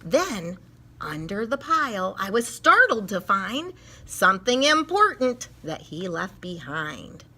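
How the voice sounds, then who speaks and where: A woman reading a rhyming picture book to children with expressive, dramatic, measured storytelling. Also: mid-range voice.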